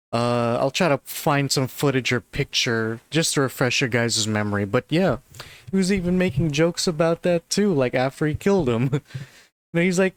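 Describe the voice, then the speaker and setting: A man talks through his opinions in a relaxed, rambling way, leaning on little fillers and breaking into a laugh here and there. His voice sits at an ordinary middle pitch. The recording is dry and close, with no room echo. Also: clear, resonant tone. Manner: loose and chatty, full of "like" and "you know", laughs now and then. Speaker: video commentator. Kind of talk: casual commentary.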